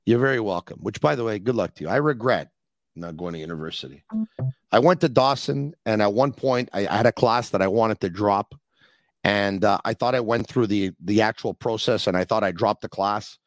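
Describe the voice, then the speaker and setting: A man hosting a sports call-in podcast, chatting in a rambling, animated way. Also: fairly low pitch, fairly quick, no echo. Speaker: podcast host. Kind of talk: casual call-in show chat.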